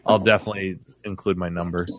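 A man hosting a podcast in relaxed, informal conversation with friends. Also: low voice, fast talker, a little hiss or hum. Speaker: podcast host. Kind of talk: casual chat.

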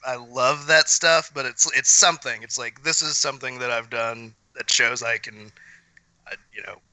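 A man with a very bright, sharp voice at a medium pitch, close and dry on the mic. He hosts a casual chat, candid and rambling. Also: no echo, low-quality recording. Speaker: podcast host.

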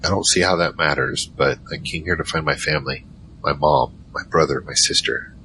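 A man's voice: extremely low pitch, normal speed, background noise. A man playing a wry, casual male narrator searching for his family in an audio drama. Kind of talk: first-person audio drama narration and dialogue.

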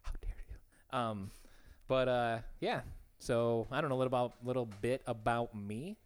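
A man's voice: medium pitch, normal speed, clear, resonant tone. Guy from illinois in his 30s, kinda nasal, joking around and laughing on a podcast. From Illinois, USA. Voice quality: put-on nasal tone. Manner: laid-back, joking, laughs often, self-mocking. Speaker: podcast co-host. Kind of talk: casual chat.

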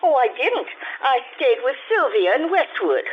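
A woman's voice: sits high, very animated, moderate pace. A woman playing an anxious, evasive, well-off wife in old-time radio melodrama style, hesitant and pleading. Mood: nervous, evasive. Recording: dull lo-fi, noisy behind.

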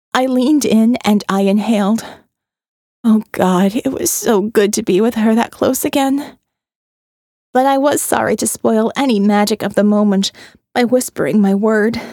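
A woman narrating a first-person audio novel in a measured, intimate way. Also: fairly high pitch, warm tone, dry recording, studio quality.

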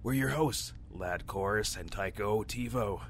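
Deadpan space radio co-host, acted by a man, lowish announcer voice, comedy show, clear background noise. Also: measured pace. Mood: announcing.

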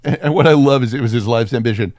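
A man's voice: mid-range voice, quick pace, a little mellow, no room sound, clean studio sound. A man co-hosting a film podcast in casual comedic banter, irreverent and sweary, slipping into mock voices as he riffs. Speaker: podcast co-host reviewing films.

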